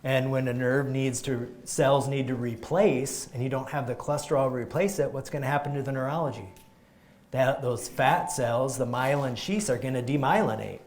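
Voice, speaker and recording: Guy giving an energetic health talk to a room, keeps restarting sentences, medium pitch. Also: normal speed, a little hiss or hum. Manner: energetic, emphatic, frequent restarts. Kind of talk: live health talk to a group.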